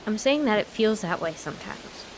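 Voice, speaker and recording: A woman's voice: mid-range voice, animated, normal speed, slight background noise, natural voice, low-quality recording. A young woman playing a strong-willed, frustrated grown daughter in a naturalistic comedic radio play, emphatic and argumentative.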